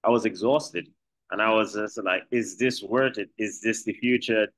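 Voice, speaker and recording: A man's voice: on the low side, normal speed, fairly bright tone, no echo. A middle-aged Trinidadian man, a chef and restaurant co-founder, talking in a rambling way with many restarts in a video call interview.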